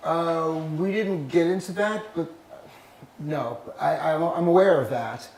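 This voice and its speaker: A man's voice: fairly high pitch, a little slow, a little mellow, roomy sound, a little hiss or hum, low-quality recording. Hesitant man answering audience questions. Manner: hesitant, restarts often, thinks aloud. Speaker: filmmaker and songwriter answering questions. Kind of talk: casual Q&A after a performance.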